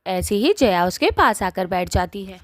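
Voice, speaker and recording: A woman's voice: mid-range voice, animated, normal speed, no echo. A woman narrating a scripted cartoon story as its storyteller.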